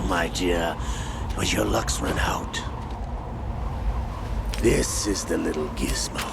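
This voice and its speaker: An actor playing a smug, cruel older male villain in a video game, taunting theatrically in a gravelly voice. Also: low voice, heavy background noise, heavily acted. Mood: coolly menacing. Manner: slow, taunting, theatrical delivery. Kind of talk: video game cutscene acting. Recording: dramatic music under the voice.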